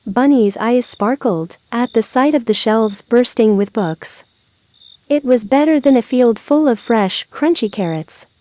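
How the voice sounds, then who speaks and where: A woman narrating a children's story aloud, with expressive, deliberate phrasing. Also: a little high.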